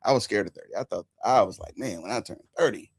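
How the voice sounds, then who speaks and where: A man of forty hosting a casual political chat, telling stories animatedly, swinging between loud and hushed. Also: on the low side, lively intonation, quick pace, vocal fry, slightly rough. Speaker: podcast host.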